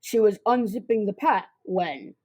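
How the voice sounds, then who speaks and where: A woman's voice: medium pitch, measured pace, a little mellow, slight background noise, close to an everyday voice. A girl of about twelve narrating a comic suspense radio drama in a theatrical, scripted way. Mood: suspenseful. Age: child about twelve.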